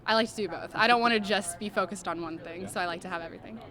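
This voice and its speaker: A woman's voice: medium pitch, very fast, a crowd in the background. A young woman, a college basketball player, answering reporters' questions in an upbeat, chatty way, with fillers like "I mean" and "like". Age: young adult. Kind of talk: media interview answers.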